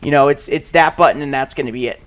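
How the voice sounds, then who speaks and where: A man with a raspy voice rambling casually about game controllers while playing a shooter, with many fillers and restarts and sudden loud outbursts at the game. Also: on the high side, quick pace. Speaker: gaming channel host.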